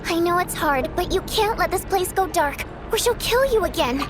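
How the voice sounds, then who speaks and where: Perky high guardian spirit. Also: female voice, expressive, background noise. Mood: urgent. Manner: perky, urgent, quick bursts of instruction. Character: chirpy female guardian spirit, upbeat and bossy. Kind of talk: game voice acting. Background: game music.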